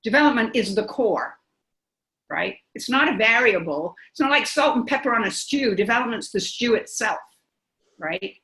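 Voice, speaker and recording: A woman's voice: fairly high pitch, lively intonation, moderate pace, sharp tone. A woman speaking at a pediatric workshop, thinking aloud and asking rhetorical questions as she makes her point.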